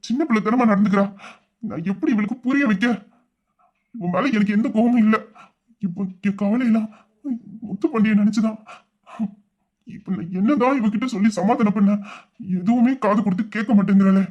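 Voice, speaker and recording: A man playing a young man who is hurt and quick to anger, scolding and exasperated in an audio drama. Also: sits high, highs cut off. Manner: scolding, exasperated, shouting at times. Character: young man, wounded and quick-tempered lover.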